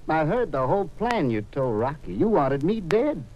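An actor playing a courteous, slow-witted Southern gunman with a plaintive, folksy manner and a Southern accent in a 1950s radio drama. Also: fairly high pitch, sing-song. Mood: wounded. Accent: American Southern. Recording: dull, noisy old radio recording.

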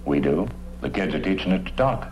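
An actor playing an earnest, folksy police detective sidekick in a radio drama, rambling eagerly. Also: male voice, low-pitched, lively intonation, a little fast, a little mellow, faint music behind. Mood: chatty, eager. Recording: muffled, noisy old radio recording.

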